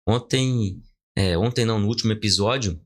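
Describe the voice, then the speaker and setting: A man's voice: lowish voice, normal speed. A Brazilian man in his forties hosting a podcast, talking and asking questions in a conversational way. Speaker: podcast host, lawyer. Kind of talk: conversational interviewing.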